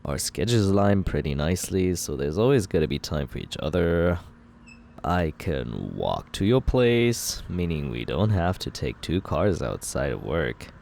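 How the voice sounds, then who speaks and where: A man playing a smug young villain with a deep, low voice, teasing and unbothered as he talks his way out of everything. He keeps it relaxed and self-justifying, with a faint hiss sitting under the ASMR roleplay. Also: normal speed, gravelly, exaggerated voice. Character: cocky young male villain courting the listener.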